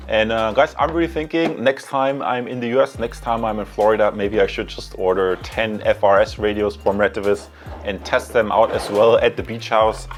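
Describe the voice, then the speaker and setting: Male, German accent, low voice, informal product reviewer, chatty delivery, background music. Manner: informal, chatty, addresses viewers as guys. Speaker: product reviewer and channel host. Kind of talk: casual product review talk.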